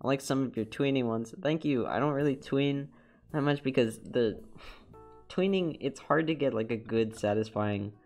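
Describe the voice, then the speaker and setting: A man's voice: mid-range voice, normal speed, a little mellow, faint music behind. A young man with a congested, nasal voice chatting casually as a streamer while he animates, rambling with repeated words and laughs.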